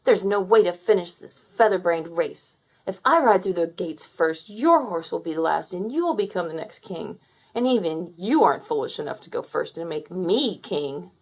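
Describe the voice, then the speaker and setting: A woman's voice: lowish voice, expressive, moderate pace, breathy edge. A woman doing a blustering, sneering rooster prince. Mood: scornful. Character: scheming hot-tempered young rooster prince, male.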